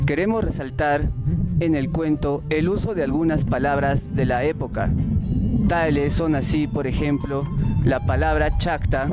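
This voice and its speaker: Higher-pitched Peruvian male host over music. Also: poor audio. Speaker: radio show host. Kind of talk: scripted radio presentation. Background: music bed.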